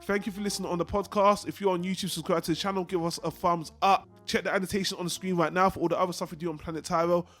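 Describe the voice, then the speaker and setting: A man's voice: high-pitched, steady tone, very fast, hardly any pauses, fairly bright tone, soft background music. A man hosting a podcast, chatting casually about film in a rambling, digressive way. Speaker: podcast host. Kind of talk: casual film review chat.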